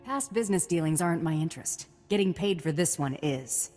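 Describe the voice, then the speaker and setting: Tough game mercenary, very low female voice, sardonic, blunt, hall reverb, music behind. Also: expressive, a little fast, darkish tone. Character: tough, sarcastic female bounty hunter. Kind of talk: scripted video game voice acting.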